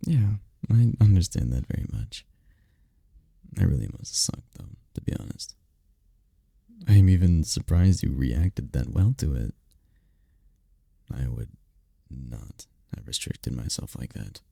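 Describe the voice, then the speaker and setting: A man playing a tender, powerful incubus boyfriend in an intimate roleplay ASMR, speaking softly and soothingly with unhurried, caring murmurs. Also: big pitch swings, no echo, studio quality, heavily acted. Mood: soothing, attentive. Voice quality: deep, dark, gravelly, husky. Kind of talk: intimate roleplay ASMR, scripted comfort monologue. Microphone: close mic, dry.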